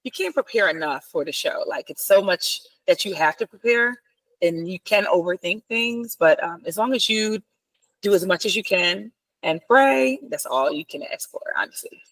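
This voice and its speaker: A woman's voice: medium pitch, lively intonation, moderate pace, a bit bright, no echo, poor audio. A woman radio host in a panel chat, talking in a sincere, reflective way with fillers.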